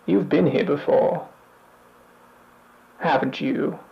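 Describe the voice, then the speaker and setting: A man playing a bleak, weary otherworldly narrator in an analog horror piece, speaking solemnly and deliberately with a gravelly voice. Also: fairly high pitch, lo-fi sound, slight background noise. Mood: grim, menacing. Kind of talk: slow scripted analog horror monologue.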